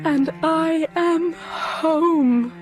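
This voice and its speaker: Young English governess, melancholy and intense. High voice, breathy halting delivery, period audio drama over music. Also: female voice, darkish tone, exaggerated voice. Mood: dazed, fragile. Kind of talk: literary audio drama acting.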